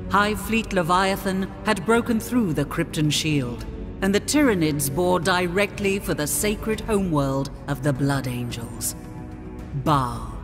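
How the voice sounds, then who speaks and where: An actress playing a solemn narrator of a grim space war in a video game cutscene, speaking gravely and with measured weight. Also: female voice, fairly low pitch, expressive. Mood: grave, portentous. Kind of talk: dramatic scripted cutscene narration. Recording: long cavernous reverb, music underneath. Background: dramatic music.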